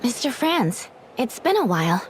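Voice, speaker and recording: A woman's voice: fairly high pitch, very animated, normal speed, a little hiss or hum. A woman playing a composed, considerate young heroine in an anime game, polite and straightforward. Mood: wry, polite. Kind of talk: scripted anime game dialogue.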